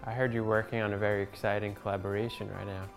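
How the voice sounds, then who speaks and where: A woman's voice: a bass voice, little pitch movement, fast talker, faint music behind. A woman asking conversational questions as an interviewer.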